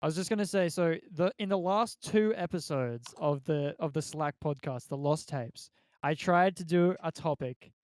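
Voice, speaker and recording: Guy chatting on a podcast, smooth clear voice, a bit high, close dry sound. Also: normal speed, a little mellow, dry recording. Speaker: podcast regular introducing a topic. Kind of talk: casual chat.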